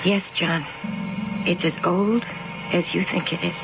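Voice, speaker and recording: An enigmatic woman who keeps cats and insists she has no past in an old radio mystery. She speaks in a cool, measured way. The sound is dull and muffled, with music underneath. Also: lowish voice, fairly even intonation, creaky. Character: mysterious cat-keeping woman claiming no past. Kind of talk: 1970s radio drama acting.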